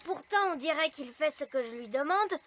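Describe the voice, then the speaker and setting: A woman's voice: high voice, animated, normal speed, fairly bright tone, faint music behind. A woman voicing an earnest little elf boy in a children's cartoon, bossy and given to exclamations. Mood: earnest, wary.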